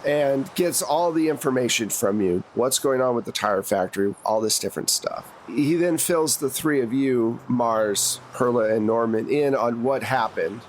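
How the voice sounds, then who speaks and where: A man's voice: medium pitch, normal speed, few pauses, a little hiss or hum. Conversational man running a tabletop game. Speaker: game master. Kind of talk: narrating a tabletop roleplaying game. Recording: music behind the voice.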